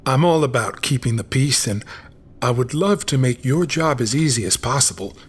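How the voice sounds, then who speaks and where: A man's voice: medium pitch, expressive, normal speed, husky. A man playing a deferential police officer in an audio drama, speaking in an obliging, ingratiating way.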